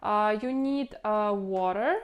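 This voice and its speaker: Clear-voiced woman with a Ukrainian accent. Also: medium pitch, slow, dull audio. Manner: rambling, repeats words, non-native phrasing. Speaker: home cooking channel host. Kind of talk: casual instructional vlog talk.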